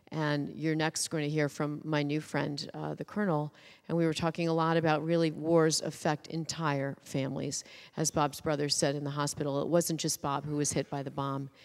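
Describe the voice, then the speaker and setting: A woman giving measured, reflective introductory remarks as a speaker on a public panel. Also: low-pitched. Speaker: panel speaker, co-founder of a veterans' charity. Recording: reverberant hall sound.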